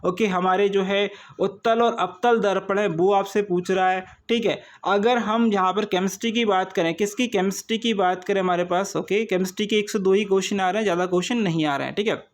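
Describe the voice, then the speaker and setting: A high-voiced man works through an informal exam coaching lesson. He explains step by step, often repeating himself and posing small questions that he answers himself. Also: fairly even intonation, fairly quick, hardly any pauses. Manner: repetitive explaining, checking with short tags and rhetorical questions.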